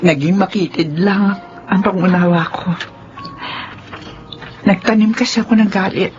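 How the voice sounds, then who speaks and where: Earnest middle-aged Filipino aunt, played by an actress. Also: female voice, mid-range voice, measured pace, soft background music, close to an everyday voice. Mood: conciliatory. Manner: earnest, gently admonishing. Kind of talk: Filipino radio drama acting. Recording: muffled radio drama, faint background noise.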